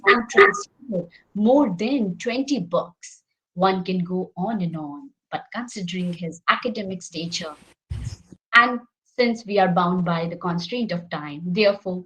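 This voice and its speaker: A woman's voice: medium pitch, low-quality recording. Formal, polite woman. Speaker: moderator. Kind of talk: formal speaker introduction. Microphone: online video call.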